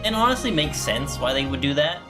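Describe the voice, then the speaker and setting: A woman with a very low voice comments casually on a game. Her intonation moves around a lot as she reads lines aloud, with clear game music behind. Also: big pitch swings, a little fast. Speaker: gaming streamer.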